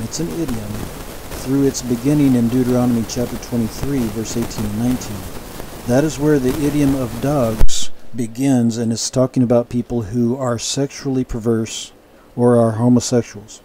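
A man giving a measured, explanatory Bible lecture, often restarting his sentences and adding asides. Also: medium pitch, steady tone, mellow, a little hiss or hum, poor audio. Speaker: Bible teacher. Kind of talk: sermon-style Bible lecture.

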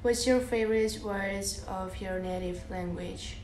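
Slow-talking young woman with a Russian accent. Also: mid-range voice, steady tone, echoey room, a little hiss or hum, rough recording. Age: young adult. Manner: soft and giggly, many fillers like "like" and "uh". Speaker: livestreamer. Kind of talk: casual livestream chat, reading out comments. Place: bedroom, indoors. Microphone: phone held close, lying on a bed.

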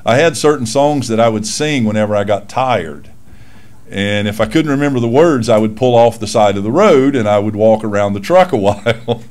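A man's voice: on the low side, expressive, normal speed, a little mellow. A man in his sixties, a pastor giving casual, folksy church announcements from a lectern microphone in a small church room. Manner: folksy, self-deprecating, with frequent fillers.

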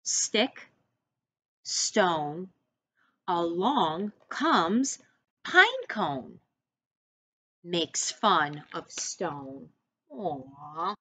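A middle-aged American woman reading a picture book to young children as their teacher, expressively, with deliberate instructing asides. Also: on the low side, sing-song, bright, forceful tone, breathy edge. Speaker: first-grade teacher. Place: small room indoors, seated at a desk.

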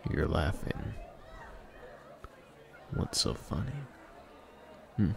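A man's voice: low voice, expressive, creaky, a crowd in the background, noisy background, heavily acted. A man acting a shy, once-bullied young man standing up for himself in a hesitant, murmured ASMR boyfriend roleplay. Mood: confused, surprised. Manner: hesitant, murmured, with pauses and hums.

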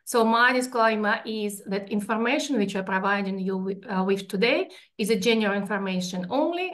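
A woman from Russia with a strong Russian accent, presenting a financial webinar over a video call and explaining each slide step by step. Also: mid-range voice. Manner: explanatory, steady, walking through slides.

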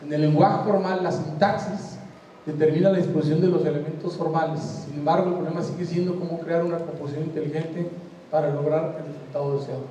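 A man's voice: a little high, steady tone, fast talker, dark tone, slight background noise. Older Mexican man lecturing in an echoey hall. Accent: Mexican Spanish. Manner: conversational, checks in with the audience. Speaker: design lecturer. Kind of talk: conference lecture. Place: large hall with seated audience. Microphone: handheld mic. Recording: roomy hall echo from far off.

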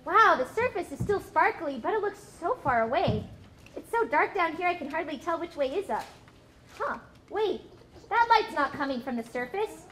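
A woman's voice: very high-pitched, big pitch swings, normal speed. A woman voicing a naive, curious young female sperm whale in a live puppet show, full of hesitant exclamations. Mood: wide-eyed wonder. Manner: wide-eyed, hesitant exclamations. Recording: live theatre recording, faint noise.

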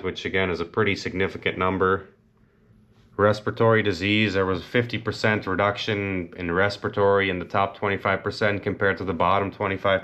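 Low-voiced man chatting from a farm office. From North Dakota, USA. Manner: relaxed, many "uh" pauses and restarts. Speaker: dairy farmer. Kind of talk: casual explanatory vlog. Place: small farm office indoors. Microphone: wide-angle camera on desk.

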